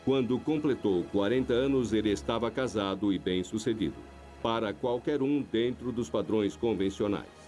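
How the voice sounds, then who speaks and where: Man narrating a documentary voice-over over soft music. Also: fairly low pitch, normal speed.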